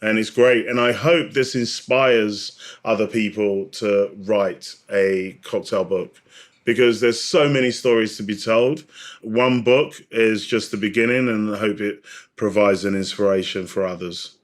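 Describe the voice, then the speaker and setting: A man's voice: on the low side, fairly even intonation, a little slow. A man speaking as a podcast guest, telling stories and explaining in a reflective, conversational way. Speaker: podcast guest, drinks industry advocate. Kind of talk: conversational interview, storytelling.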